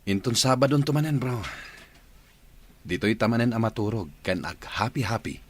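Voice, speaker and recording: A man's voice: on the low side, lively intonation, normal speed. A man playing an easygoing married guy in a radio drama. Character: easygoing married man chatting with his buddy.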